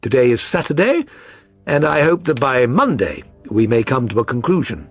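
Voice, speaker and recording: A man voicing a brisk, self-assured gentleman detective in an audiobook, precise, probing and authoritative. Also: mid-range voice, sing-song, husky, soft background music, voice pushed down. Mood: assured, decisive. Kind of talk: audiobook character reading.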